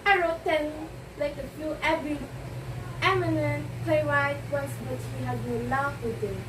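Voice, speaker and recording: A distant, noisy recording carries a high female voice. It is a woman acting a self-possessed stage actress in a play, worldly, teasing and condescending. Her emphasis is grand and theatrical. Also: fairly even intonation, close to an everyday voice. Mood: wry, teasing. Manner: theatrical, lofty, drawn-out emphasis. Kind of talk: amateur one-act stage play acting. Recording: echoey, distant room recording with loud noise.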